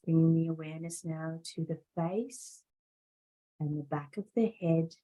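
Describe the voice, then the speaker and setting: A woman leading a guided meditation as a yoga instructor, speaking in a calm, soothing, deliberate way with long pauses between phrases. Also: low-pitched, low-quality recording.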